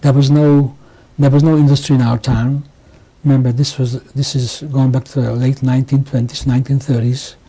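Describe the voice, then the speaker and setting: A man in his sixties from Hungary, giving oral history testimony in a halting way, with many restarts and pauses. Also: medium pitch, flat delivery, mellow, breathy edge, low-quality recording. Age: 60s. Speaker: interviewee. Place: home interview.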